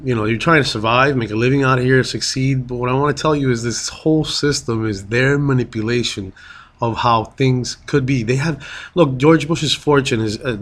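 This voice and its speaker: A man's voice: mid-range voice, fairly bright tone. A man from Miami and Colombia makes a rambling, impassioned appeal to commuters, full of fillers, restarts and repeated phrases. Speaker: street speaker addressing commuters. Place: public transit, bus or station.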